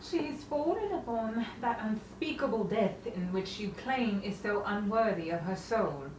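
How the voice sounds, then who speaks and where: Actress playing a slow, solemn sister. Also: female voice, mid-range voice, lively intonation, a little mellow, lightly acted. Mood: grave, sorrowful. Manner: measured, grave, pausing theatrical delivery. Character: solemn, burdened sister bearing tragic news. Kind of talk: 1950s-style romantic drama acting. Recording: roomy, distant, clearly noisy stage sound.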